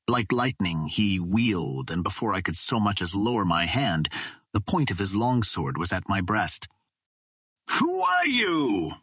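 A man's voice: deep voice, animated, moderate pace, fairly bright tone, breathy edge, no echo, studio quality. A man reading a classic adventure novel aloud as scripted narration. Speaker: audiobook reader.

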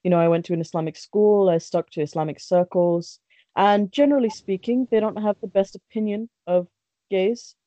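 A woman's voice: medium pitch, moderate pace, mellow, no room sound. A woman, a guest talking candidly and chattily about her life, with lots of restarts and fillers. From born in Bangladesh, raised in London. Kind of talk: casual podcast interview. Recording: dry, dull lo-fi sound.

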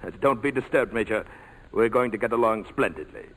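A wealthy, suave client in a vintage radio play. He speaks formally and precisely in a lowish voice, with a condescending, faintly sinister politeness. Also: lively intonation, fairly quick, very noisy. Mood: suave, controlled. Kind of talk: 1940s radio drama acting.

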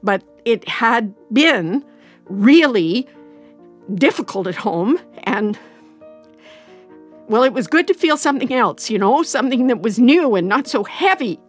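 An actress playing an anxious, regretful middle-aged mother in an audio drama, halting and justifying herself as she confesses. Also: fairly high pitch, close to an everyday voice. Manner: halting, self-justifying, repeats and trails off. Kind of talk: naturalistic audio drama acting.

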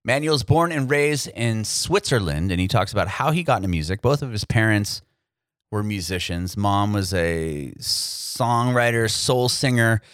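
A man's voice: lowish voice, expressive, unhurried, a bit bright, smooth, no echo, studio quality. A man from San Diego hosting a music podcast, chatty and upbeat, with lots of fillers and restarts. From San Diego, United States. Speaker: podcast host. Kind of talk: casual interview chat and scripted intro.

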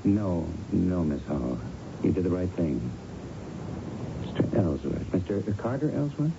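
An actor playing a patient, probing police lieutenant in a 1970s radio drama, questioning in a measured, coaxing way. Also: male voice, deep voice, big pitch swings, creaky, full character voice. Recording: dull, noisy old radio broadcast.

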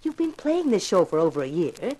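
A woman acting as a pushy, excitable TV producer in a 1950s radio drama, gushing and bossy. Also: fairly low pitch, brisk, breathy edge. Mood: urging. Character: pushy, excitable female TV producer hungry for publicity.

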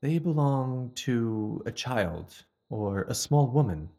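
A man playing a polite, earnest gentleman in a Victorian detective audio drama, speaking courteously and formally. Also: medium pitch, lively intonation, slow. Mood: observant.

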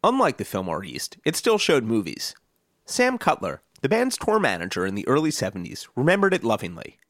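Male podcast host, scripted storyteller, swooping animated delivery, dead-dry booth sound. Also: mid-range voice, a little fast, dry recording. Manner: lively storytelling delivery with list-like build-ups. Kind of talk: scripted narration.

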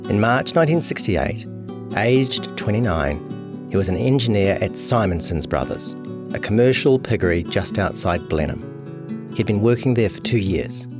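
A man's voice: fairly low pitch, animated, measured pace. A man reading a scripted true crime long-read as narrator. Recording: music behind voice. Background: guitar music.

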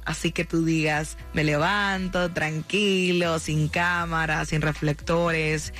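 A woman's voice: sits low, level tone, slow, a bit bright. A woman hosting a radio show, interviewing a guest with gushing, effusive enthusiasm. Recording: faint music underneath.

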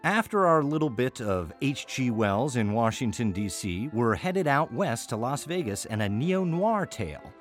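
A man's voice: mid-range voice, sing-song, unhurried, hardly any pauses, faint music behind. An American man hosting an audio theater podcast, talking conversationally in a wry, chatty manner with playful asides. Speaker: host and artistic director of an audio theater. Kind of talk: conversational podcast introduction to a show's season.

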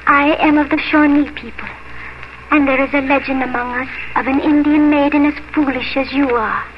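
A woman's voice: sits high, steady tone, normal speed, lightly acted. An actress playing a scornful, foreboding woman who tells legends in an ominous, incantatory way in a 1950s radio drama. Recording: dull, noisy old radio recording.

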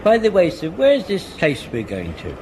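Casting: an old army corporal, chatty and deferential, high and very lively, for radio sitcom. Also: male voice, moderate pace. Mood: chatty, eager. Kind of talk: broadcast radio sitcom acting. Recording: noisy vintage radio recording.

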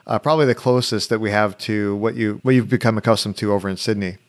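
A man hosting a travel podcast, chatting in a relaxed, halting way with many restarts. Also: lowish voice. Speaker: podcast host. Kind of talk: casual interview chat.

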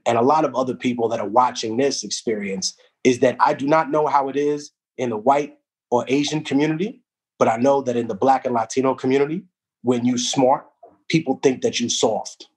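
Husky-voiced man from Peru, storytelling. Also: medium pitch. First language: Spanish. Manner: reflective storytelling, street slang, animated asides. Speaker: guest. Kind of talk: casual podcast conversation.

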